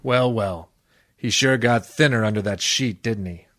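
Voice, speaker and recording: Low-voiced hardboiled radio detective, played by a man. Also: unhurried, no room sound, studio quality. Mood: sardonic. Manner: deadpan, sardonic. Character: wisecracking hardboiled private detective, world-weary man. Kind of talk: old-time radio detective drama acting and narration.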